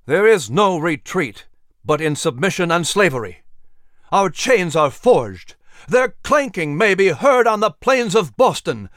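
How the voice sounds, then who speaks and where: A man voicing an impassioned patriot orator who rouses a hall, rising to shouts. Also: on the high side, expressive, slow pace, clean tone, studio quality. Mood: defiant.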